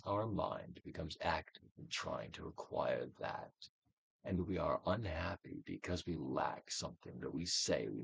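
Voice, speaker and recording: A man reading a self-help book aloud as a dramatic, guided-meditation narration, with deliberate actorly emphasis, swelling and dropping to a hush. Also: low voice, gravelly, husky, no room sound. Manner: theatrical, deliberate actorly delivery with emphatic swells and hushed drops.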